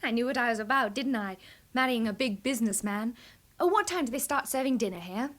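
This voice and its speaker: Excitable high-voiced young bride. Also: female voice, a little fast. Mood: bright, teasing. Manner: expressive, emotional. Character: young newlywed wife, spirited and excitable. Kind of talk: dramatic radio drama acting.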